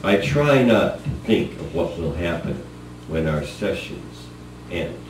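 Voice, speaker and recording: A man giving a dramatic spoken-word reading in measured, short phrases. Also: low voice, sing-song. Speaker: stage performer. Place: small gallery cabaret. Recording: distant roomy live recording, noisy hum.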